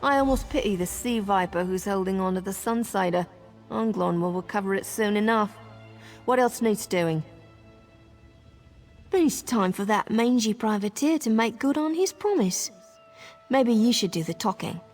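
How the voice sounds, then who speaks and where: A woman's voice: medium pitch, moderate pace, close to an everyday voice. An actress playing a confident, dry female marine in a fantasy video game. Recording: game music behind the voice.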